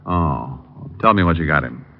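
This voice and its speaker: An actor playing a cool, wisecracking private detective with a dry, needling manner, in 1940s radio style. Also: male voice, a bass voice, expressive, normal speed, creaky, heavily acted. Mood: breezy teasing. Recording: dull vintage radio recording, music behind.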